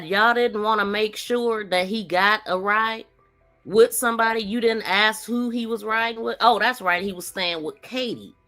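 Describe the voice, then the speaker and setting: A woman's voice: mid-range voice, bright, forceful tone. A woman hosting a casual true-crime live commentary, talking in an opinionated, questioning way. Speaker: podcast host.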